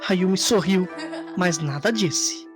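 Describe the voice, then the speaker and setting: A woman's voice: on the low side, slow. A woman narrator reading a scripted story in a dramatic storytelling style. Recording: music under the voice. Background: background music.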